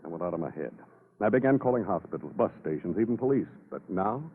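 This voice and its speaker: A man's voice: deep voice, lively intonation, quick pace, slightly rough, exaggerated voice. An actor playing a cynical, wisecracking playboy businessman with sardonic slangy delivery in 1950s radio drama style. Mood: wry, jaded. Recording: tinny old radio broadcast.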